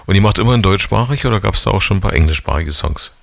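A man in his forties hosts a radio interview in a conversational, probing way. His voice sits very low with a gravelly fry. The sound is dry and close. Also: lively intonation, quick pace, no echo. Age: 40s. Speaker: radio host interviewing a musician.

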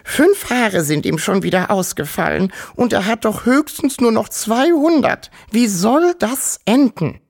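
A man voicing an ancient, fretful little grandmother creature in a squeaky, piping voice for a children's story. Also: expressive, unhurried, vocal fry, few pauses, exaggerated voice. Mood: alarmed wailing. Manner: wailing, alarmed.

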